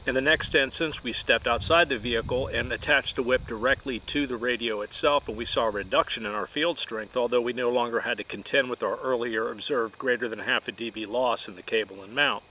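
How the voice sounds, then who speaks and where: A man's voice: mid-range voice, steady tone, fairly quick, hardly any pauses, bright, forceful tone, slight background noise, rough recording. A middle-aged man giving scripted technical narration in precise, formal wording as host of a radio-testing video.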